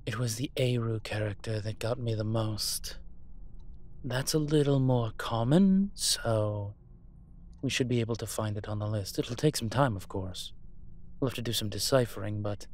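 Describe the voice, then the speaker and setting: Male actor as suave incubus professor, lowish voice, very slow measured delivery, wry, teasing, roleplay audio drama. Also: a little mellow, lightly acted. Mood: measured, scholarly.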